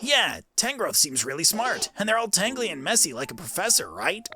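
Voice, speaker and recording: A man voicing an eager, curious young creature in a video game, excitable and childlike in manner. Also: sits high, normal speed, soft background music, heavily acted. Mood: impressed. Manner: excitable, simple childlike questions.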